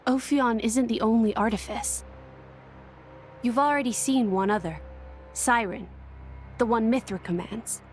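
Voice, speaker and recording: A woman's voice: a little high, unhurried, long pauses, a little hiss or hum, natural voice. An actress playing a solemn, ancient female being.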